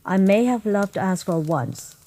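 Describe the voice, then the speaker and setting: A woman voicing a gentle, motherly monster queen in a comic dub, speaking with formal, measured politeness. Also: mid-range voice, expressive. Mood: grave, bitter. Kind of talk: comic dub character acting. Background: music.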